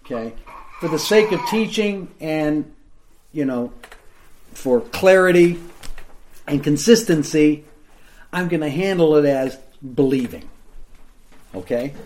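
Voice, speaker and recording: A man's voice: on the high side, a little mellow. A man teaching the Bible to a group in a room, explaining in a plain, conversational way and often checking in with his listeners.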